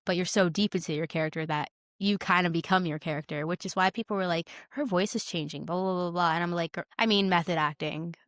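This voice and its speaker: Smooth-voiced young woman, fairly low. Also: fast talker, few pauses, no room sound, clean studio sound. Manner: effusive, emphatic, repeats words. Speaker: podcast co-host. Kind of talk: casual chat about films.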